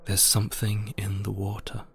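Gravelly low man over music. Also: monotone, normal speed. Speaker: horror story reader. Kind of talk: first-person audio fiction narration.

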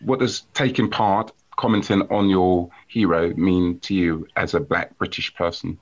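Low-voiced man hosting a podcast. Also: steady tone, dull audio. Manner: measured, formal, attentive listener. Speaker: podcast host. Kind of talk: scripted intro, then interview.

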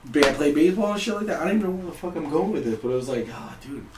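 A man's voice: on the high side, racing, far from the mic. A man in his thirties from Puerto Rico, a podcast guest telling stories in a loose, profane, laughing chat. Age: 30s. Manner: animated, profane, laughs often. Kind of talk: casual storytelling chat. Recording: roomy, off-mic with faint hiss.

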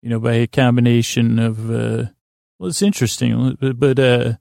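A man hosting a podcast in a creaky voice, rambling soothingly through a halting, meandering, self-deprecating bedtime monologue. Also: medium pitch, steady tone, a little mellow, no echo, clean studio sound. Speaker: podcast host.

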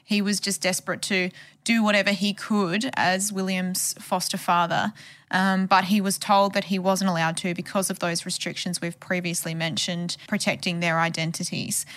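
A woman's voice: lowish voice, level tone, normal speed, fairly bright tone. A woman journalist giving a conversational podcast account of a case. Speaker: journalist who covered the case.

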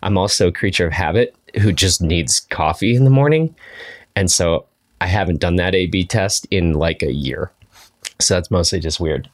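Host role, young man, very deep voice, dry self-mocking humor, chatty podcast delivery. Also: normal speed. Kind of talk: casual podcast chat.

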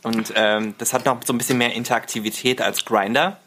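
A man's voice: medium pitch, steady tone, fairly quick, a bit bright, slight background noise. A man chatting casually as a podcast guest, giggly and informal, with English slang mixed in. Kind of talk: casual chat.

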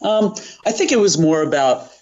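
A man's voice: fairly high pitch, big pitch swings, moderate pace, a little mellow. Middle-aged man, slightly dull recording. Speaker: interview guest, company president. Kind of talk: conversational interview answers.

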